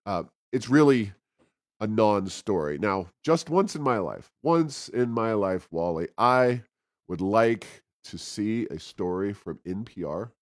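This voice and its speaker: Low-voiced man with sardonic political commentary. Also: animated, slow, dry recording, studio quality. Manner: sardonic, mocking emphasis. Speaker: talk show co-host and commentator. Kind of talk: opinionated political commentary, conversational.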